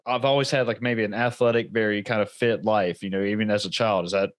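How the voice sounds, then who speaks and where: A man's voice: fairly low pitch, fairly even intonation, fast talker, no room sound, clean studio sound. A man hosting a podcast, who talks casually and rambles with many restarts. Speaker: podcast host.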